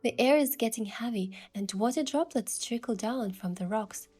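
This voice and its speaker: A young woman gently narrating a romantic story in the first person as its heroine, in a soft, hushed voice. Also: fairly high pitch, moderate pace, breathy edge, soft background music.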